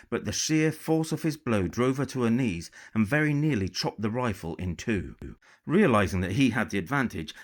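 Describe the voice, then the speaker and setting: A man reading a novel as a dramatic, measured and expressive audiobook narration. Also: fairly low pitch. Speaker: author reading own novel.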